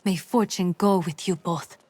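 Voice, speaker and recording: An actress playing a composed, dutiful noblewoman regent in a video game, speaking in a formal, measured, stately manner. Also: fairly low pitch, steady tone, a little mellow, slight background noise, lightly acted. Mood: encouraging, formal. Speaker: game character giving quests. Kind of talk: scripted video game dialogue.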